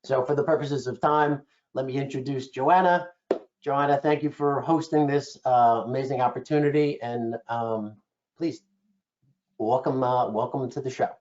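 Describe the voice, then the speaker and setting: A man's voice: medium pitch, steady tone, moderate pace, a little mellow, dull audio. A man hosting and introducing a webinar in a casual way, with many fillers. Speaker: webinar host.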